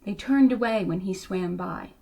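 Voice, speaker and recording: A woman reading to children as a storyteller, with expressive narration. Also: medium pitch, moderate pace, creaky, poor audio. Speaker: storybook reader.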